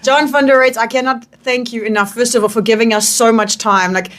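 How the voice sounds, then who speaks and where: A woman's voice: a little high, steady tone, brisk. A woman with a South African accent, chatting informally and encouragingly as a radio interviewer. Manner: informal, encouraging, frequent affirmations. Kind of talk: casual chat.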